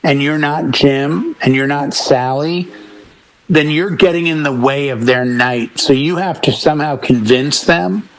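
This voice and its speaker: A man's voice: fairly high pitch, measured pace, poor audio. A middle-aged man chatting casually on a panel as a DJ, speaking emphatically and repeating words to drive points home. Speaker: talk show panelist, professional DJ.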